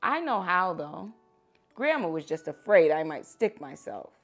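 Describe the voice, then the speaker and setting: A woman reading children's books aloud like a teacher at story time, with expressive, animated phrasing for young listeners. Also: lowish voice, measured pace. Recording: music behind the voice.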